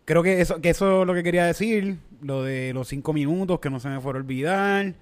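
A Puerto Rican man chats on a comedy podcast in a voice set a little high. He talks frankly, curses casually and hands out advice. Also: a little fast. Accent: Puerto Rican Spanish. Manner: frank, swears freely, gives advice.

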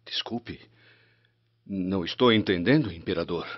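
Worried proud roman noble, theatrical radio play, lowish voice, muffled audio. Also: male voice, a little slow. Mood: polite, uneasy. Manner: declamatory, formal, theatrical. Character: troubled, proud Roman nobleman, anxious husband. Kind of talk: radio drama acting. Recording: dull, band-limited radio recording, music bed.

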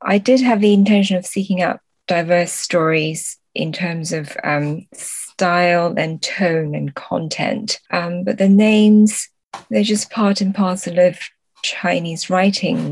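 A woman with a slightly low voice talks as a guest in a casual interview. She explains things thoughtfully, and the recording is very dry with no room sound. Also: no room sound. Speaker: podcast guest, literary translator.

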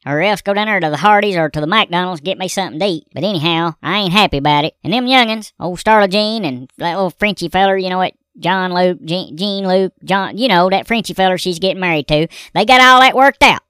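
A rambling Southern redneck husband, put on by a performer, drawls out his gripes in a folksy comic monologue. The voice is bright and smooth. It is recorded close in a dry booth. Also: mid-range voice, quick pace, hardly any pauses, dry recording, close to an everyday voice. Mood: grumbling folksy. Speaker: recurring comedy character. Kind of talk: comedic character monologue.

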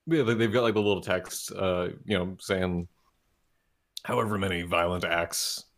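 A man's voice: low voice, steady tone, normal speed, fairly bright tone, a little hiss or hum. A man co-hosting a casual movie podcast, rambling with lots of restarts and frequent laughter. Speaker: podcast co-host. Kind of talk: casual movie review chat.

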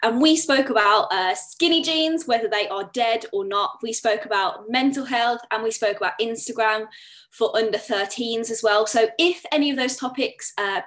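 A woman's voice: a little high, a bit bright. A young woman with a Birmingham accent, co-hosting a podcast in casual chat, chatty with many fillers. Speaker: podcast co-host.

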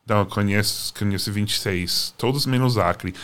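Low-voiced American man chatting. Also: normal speed, fairly bright tone, a little hiss or hum. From Boston, United States. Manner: joking, chatty, many restarts. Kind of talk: casual chat.

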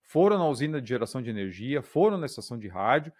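A man's voice: medium pitch, sing-song, fast talker, a little mellow. A man hosting a podcast, telling a history story in a casual, conversational way with frequent tag questions. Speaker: podcast host.

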